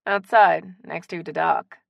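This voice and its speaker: A woman's voice: lowish voice, fairly even intonation, measured pace, gravelly, no room sound, studio quality, exaggerated voice. Woman voicing a frank, wry Cajun mother. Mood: matter-of-fact. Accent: slight Cajun.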